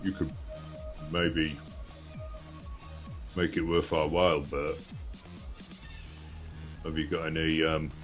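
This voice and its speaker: Guy putting on a super deep gruff adventurer dude, mumbly and blunt, faint music behind. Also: level tone, takes it slowly, pauses often. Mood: wheedling. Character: gruff deep-voiced adult male adventurer.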